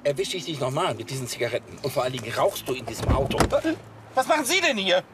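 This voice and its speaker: An actor as a fast-talking, exasperated stern father. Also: mid-range voice, very animated, noisy background, natural voice. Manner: indignant, authoritative. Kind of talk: naturalistic TV crime comedy acting.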